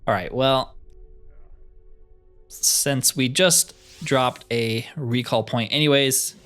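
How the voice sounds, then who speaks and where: A man's voice: mid-range voice, takes it slowly, fairly bright tone, soft background music. A man giving casual, wry commentary as he plays through a video game, often with dry humor. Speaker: gaming video host.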